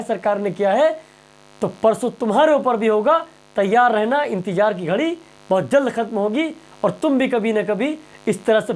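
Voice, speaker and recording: A man's voice: very high voice, darkish tone. A man presenting impassioned news commentary straight to viewers, emphatic and rhetorical, repeating phrases and questions.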